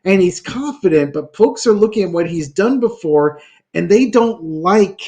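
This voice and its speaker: Man, high voice, tarot reader, casual musing chat, halting with fillers. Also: lively intonation, warm tone. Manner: musing, thinks aloud with many fillers and restarts.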